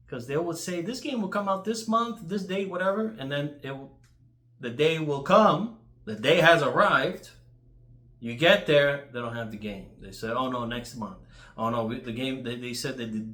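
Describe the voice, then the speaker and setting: A man's voice: mid-range voice, a bit bright. Echoey, casual New guy rambling. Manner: informal, blunt, sweary. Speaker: YouTuber telling stories about video games. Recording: roomy, echoey sound.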